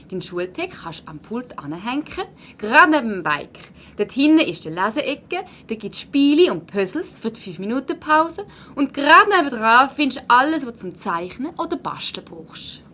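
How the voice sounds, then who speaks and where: Animated Swiss German schoolteacher, acted by a woman. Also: a little high, normal speed, slight background noise. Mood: welcoming. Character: kindly but firm female primary school teacher. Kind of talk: children's audio play acting.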